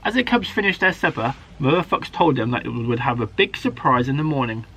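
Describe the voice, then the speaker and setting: A man, a primary school teacher, reading a children's story aloud in an expressive, dramatic way. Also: medium pitch, a little fast, slight background noise, poor audio. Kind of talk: animated children's story narration.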